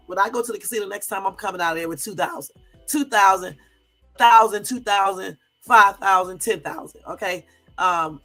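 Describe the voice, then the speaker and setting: A middle-aged woman, hoarse from a cold, hosting a casual livestream and telling stories straight to her viewers. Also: medium pitch, a bit bright. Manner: chatty storyteller, talks straight to viewers. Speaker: YouTube livestream host.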